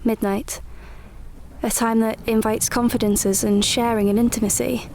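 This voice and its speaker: An actress playing a guarded, independent young Edwardian woman, narrating a radio play in a measured, intimate, literary way. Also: on the high side, flat delivery, a little mellow, a little hiss or hum, lightly acted. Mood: cosy, reflective. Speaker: narrator and lead actor. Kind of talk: scripted first-person radio play narration.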